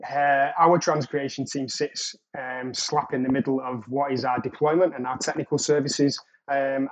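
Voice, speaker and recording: A man's voice: medium pitch, husky, low-quality recording. A man with an English accent, a podcast guest talking casually over a remote call, loose and rambling with fillers and restarts. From England. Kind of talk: casual interview talk.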